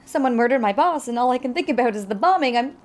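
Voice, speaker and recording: Actress as high-voiced, shaken, hesitant woman. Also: animated, lightly acted. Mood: distraught. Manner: hesitant, halting, flustered. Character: shaken young-ish female secretary, traumatized bombing survivor, apologetic. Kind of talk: naturalistic audio drama acting.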